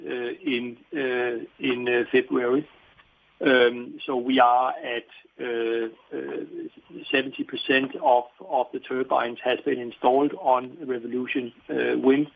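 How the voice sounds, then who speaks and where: A man answering questions as a company executive on an earnings call, halting and often repeating his words. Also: medium pitch, vocal fry. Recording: tinny phone-like call line.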